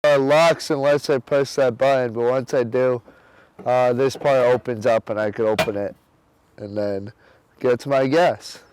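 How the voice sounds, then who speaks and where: A man's voice: mid-range voice, steady tone, unhurried, noisy background. A young man giving a casual, conversational account as an interviewee.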